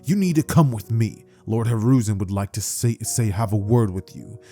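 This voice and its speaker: A man's voice: fairly low pitch, animated, normal speed, warm tone, soft background music. A man voicing an adult male ninja gate guard who speaks firmly and commandingly. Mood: stern.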